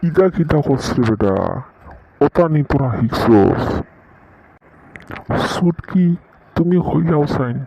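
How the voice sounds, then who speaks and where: A man playing a strict, scolding Sylheti schoolmaster in a comic cartoon, with a husky, gravelly voice and a Sylheti accent. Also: a little high, animated, takes it slowly, long pauses, background noise, full character voice. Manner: scolding, questioning. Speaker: teacher. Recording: dull, lo-fi sound.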